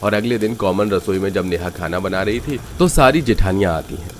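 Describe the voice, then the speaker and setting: A man's voice: low voice, a little fast, slight background noise. A man reading scripted narration for a cartoon story.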